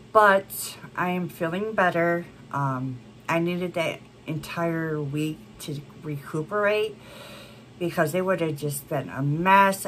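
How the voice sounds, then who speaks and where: A woman's voice: low-pitched, a bit bright, slight background noise. A woman in her thirties chatting to camera as a beauty vlogger, congested from a cold, rambling with many fillers. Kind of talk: casual chat to camera. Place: home room with a plant wall and neon signs.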